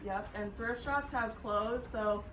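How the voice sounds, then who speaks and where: A woman teacher reading a picture book aloud to children, pausing to prompt and affirm her listeners. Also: on the high side. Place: echoey room with children. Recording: roomy, distant mic, background noise.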